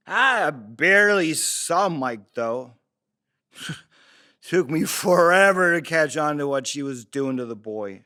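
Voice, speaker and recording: A man playing a crude, bitter, drunken father making excuses in a halting, rambling table-read monologue. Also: a little high, sharp tone, clean tone, heavily acted. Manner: slurred, halting drunken delivery with rambling asides.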